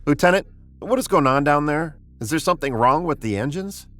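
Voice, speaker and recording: An American actor playing a brusque, impatient middle-aged spaceship commander in a 1950s radio drama, commanding and sardonic. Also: male voice, on the high side, animated, brisk, fairly bright tone. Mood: brisk, impatient.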